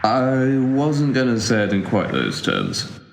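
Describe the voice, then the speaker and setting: A man's voice: mid-range voice, huge pitch swings, unhurried, creaky, exaggerated voice. A young man acting a cynical, sardonic, aimless younger brother in a naturalistic audio drama, with a dry, wordy wit. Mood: exasperated. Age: young adult. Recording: echoey room, faint background noise.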